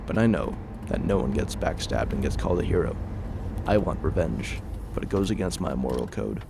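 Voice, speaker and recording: A man's voice: low-pitched, lively intonation, normal speed, vocal fry, noisy background. A man playing a weary, haunted soldier in his forties in an audio drama, grave and terse. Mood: grim, bitter. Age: 40s. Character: weary haunted 40-year-old male ex-soldier in hiding. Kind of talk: audio drama acting and narration.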